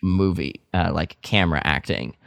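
A man co-hosting a podcast, chatting casually in halting bursts. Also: low-pitched, gravelly, a bit bright, dry recording, clean studio sound. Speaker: podcast co-host. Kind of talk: casual chat.

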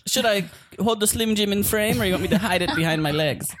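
A man's voice: high voice, brisk, background noise. A man co-hosting an improv comedy podcast, goofing through scenes and steering the chat, often laughing. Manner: goofy, playful, laughing.

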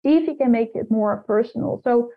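A woman's voice: on the high side, brisk. A woman whose first language is Dutch, a guest answering interview questions thoughtfully, with frequent restarts. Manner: thoughtful, many restarts and repeated words. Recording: tinny phone-like line.

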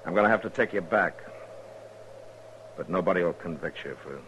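Deep, stern American frontier marshal. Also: male voice, a bit bright, slight background noise. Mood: terse, steady. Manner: terse, grave, deliberate. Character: stern, steady frontier US Marshal, weary and plainspoken. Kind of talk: 1950s radio western acting and narration. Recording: muffled old radio recording, music behind.

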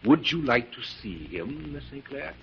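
An actor playing a menacing, gloating mad scientist professor, theatrical and mock-polite, in 1940s radio drama style. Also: male voice, medium pitch, sing-song, moderate pace, creaky, faint music behind. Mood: smug, sinister. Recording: scratchy, dull 1940s radio recording.

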